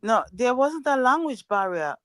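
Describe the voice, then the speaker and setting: Older Jamaican woman, blunt and plainspoken, smooth clear tone, dry close sound. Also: on the high side, sing-song, normal speed, fairly bright tone, no echo. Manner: plainspoken, blunt, repeats words for emphasis. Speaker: guest, host's mother. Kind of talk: casual livestream chat.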